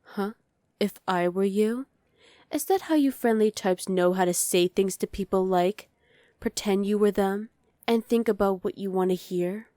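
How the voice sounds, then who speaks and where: A woman's voice: medium pitch, a little slow, warm tone, no echo, clean studio sound, lightly acted. A woman voicing a dominant, haughty evil queen in an ASMR roleplay, imperious, sly and condescending. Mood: intrigued, scheming.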